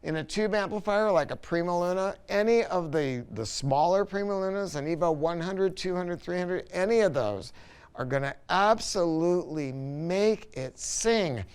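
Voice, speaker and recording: A man with a fairly high, very clean and smooth voice presents a product review. He talks casually and colloquially, like a folksy shop salesman. He leans emphatically on his points. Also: normal speed.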